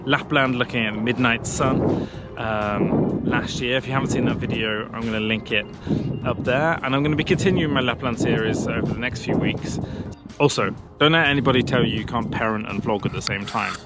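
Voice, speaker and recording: A man's voice: medium pitch, a little fast, very noisy. A man in his thirties talking casually to a camera clipped on a pushchair as he walks along a country path, chatty and self-deprecating. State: out of breath pushing uphill. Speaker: vlogger. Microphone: camera on a pushchair, walking.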